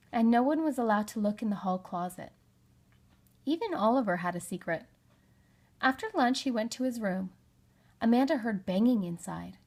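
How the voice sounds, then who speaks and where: A woman's voice: a little high, a little mellow, husky. A woman narrating a children's story from a script, as an audiobook reader.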